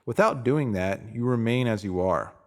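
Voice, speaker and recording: A man reading a scripted motivational spiritual piece in a deliberate, emphatic manner, pausing to give phrases weight. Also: medium pitch, animated. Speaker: narrator reading a spiritual self-help post.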